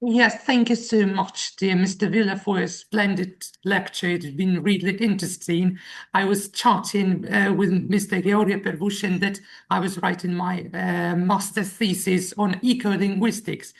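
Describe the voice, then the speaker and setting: A woman's voice: mid-range voice, steady tone, normal speed, gravelly. A young woman asking a lecturer a formal, polite question. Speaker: audience member asking a question.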